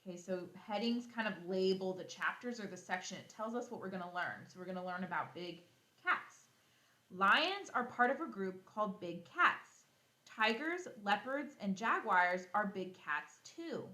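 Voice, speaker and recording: A woman teacher giving a read-aloud lesson to young children, instructive and animated, asking questions and then answering them herself. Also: mid-range voice, moderate pace, echoey room. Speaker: elementary school teacher.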